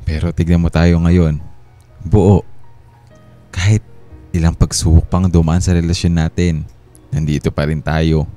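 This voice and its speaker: An actor playing a repentant, loving husband and father, gentle and earnest, in a Filipino radio drama. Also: low-pitched, flat delivery, measured pace, pauses often. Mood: warm, relieved. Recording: guitar music bed under the voice.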